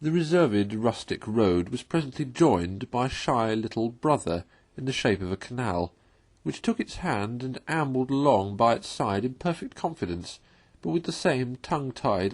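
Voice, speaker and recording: Animated, husky male storybook narrator. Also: mid-range voice, normal speed, few pauses. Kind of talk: expressive read-aloud narration of a classic children's story.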